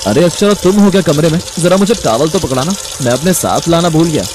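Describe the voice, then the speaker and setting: A man's voice: a little high, expressive, fast, noisy background, lightly acted. A man playing a decent young husband in a story, earnest and disapproving. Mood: casual, surprised. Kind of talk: Hindi moral-story cartoon voice acting.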